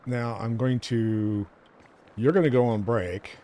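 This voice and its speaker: A man's voice: medium pitch, steady tone, slow pace, warm tone. A man co-hosting a gaming show, chatting casually and thinking aloud as he plays. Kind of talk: casual gameplay chat.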